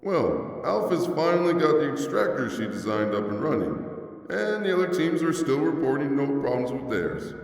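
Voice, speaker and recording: A man's voice: on the high side, very animated, moderate pace, gravelly. A man voicing a short, laid-back skeleton scientist in a comic dub, wisecracking in a casual, teasing drawl. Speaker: voice actor in a fan comic dub. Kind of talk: dramatic comic dub acting. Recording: very reverberant hall, distant, music behind.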